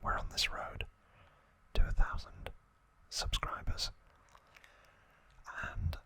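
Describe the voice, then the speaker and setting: A man whispers an ASMR recap in a breathy, unvoiced voice. The delivery is very slow and gentle, with deliberate pauses between short phrases. The whisper has a crisp, bright edge. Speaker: ASMR channel host.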